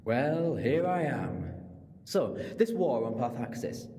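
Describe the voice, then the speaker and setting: A man's voice: mid-range voice, expressive, dark tone. A man playing a clever, chatty alien time traveller in an audio drama, explaining things conversationally with moral earnestness. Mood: earnest. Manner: expository, conversational, quick to digress. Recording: long reverberant hall.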